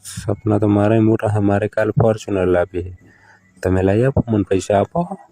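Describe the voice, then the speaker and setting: Male actor as placating hard-up lover, Gujarati accent, very mellow dark tone, dry close sound, casual phone chat. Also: on the low side, normal speed, no echo, poor audio. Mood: exasperated, placating. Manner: placating, bargaining. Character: hard-up village in-law lover, stalling and placating. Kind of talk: staged casual phone conversation.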